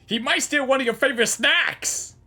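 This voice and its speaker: Bright, high-voiced woman reacting to a game. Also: moderate pace, husky, soft background music. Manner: excitable, exclamatory. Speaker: gaming reaction video creator. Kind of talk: casual live reaction commentary.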